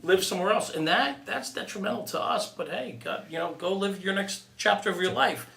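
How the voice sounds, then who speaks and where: Middle-aged American male, firefighter podcast host. Bright, forward tone, conversational, recorded roomy and slightly distant. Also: sits high, brisk, vocal fry, a little hiss or hum. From New Jersey, United States. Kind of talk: casual interview conversation.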